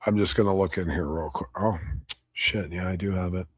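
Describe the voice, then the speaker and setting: A man giving casual livestream commentary on a debate, blunt, combative and profane, his voice slightly hoarse. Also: low voice, fairly even intonation, a little fast. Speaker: debate reviewer streaming live.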